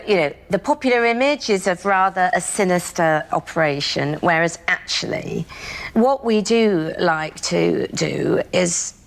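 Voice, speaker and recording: Low, creaky-voiced woman chatting in an interview. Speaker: politician, party chief whip. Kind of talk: informal interview tour.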